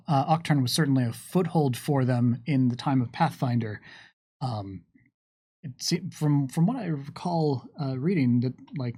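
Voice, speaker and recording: A man talking casually and without a script as a game developer on a Q&A stream, wry and wordy, with deliberate phrasing. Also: medium pitch, darkish tone. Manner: wry, joking asides. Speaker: game developer answering audience questions on a live stream. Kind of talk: casual unscripted Q&A talk, reading out questions.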